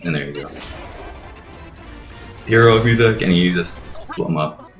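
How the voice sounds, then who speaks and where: A man's voice: sits low, unhurried. A man giving casual game commentary, explaining things in a rambling way with frequent swearing. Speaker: let's play host explaining the game. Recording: roomy echo, faint game music behind.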